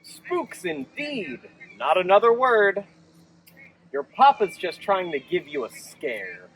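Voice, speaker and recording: A wise mother bear from a picture book, voiced by a male reader brushes off silly talk firmly. The voice is fairly high and lively, recorded from a little distance. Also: slow pace, a little hiss or hum, exaggerated voice. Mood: brisk dismissal. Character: sensible, dismissive mother bear, wise and brisk.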